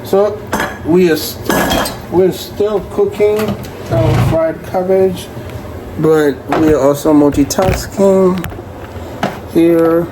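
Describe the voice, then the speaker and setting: A cooking vlogger with a Kenyan accent talks casually to viewers in a home kitchen. The delivery is slow and halting, as if busy with several jobs at once. Loud frying, stirring and running water compete with the medium-pitched voice. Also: fairly even intonation. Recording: loud kitchen noise over voice. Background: frying food, running tap water, stirring.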